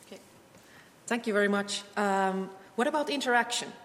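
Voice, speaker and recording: A woman moderating a panel who asks measured, soft-spoken questions. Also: mid-range voice. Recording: distant echoey room with faint noise.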